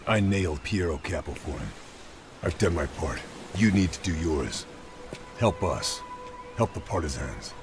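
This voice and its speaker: Gruff WWII commando: very low voice, clipped lines, hard-boiled, game cutscene with music. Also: male voice, animated, unhurried. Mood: firm, guarded. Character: gruff, laconic male WWII special operations sniper. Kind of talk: video game cutscene acting.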